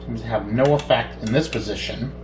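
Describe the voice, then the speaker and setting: A middle-aged man casually demonstrating electronics at a workbench, thinking aloud in an unhurried way as he works. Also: mid-range voice. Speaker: electronics repair hobbyist, host. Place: small indoor home workshop at a bench. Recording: roomy, distant mic with background noise.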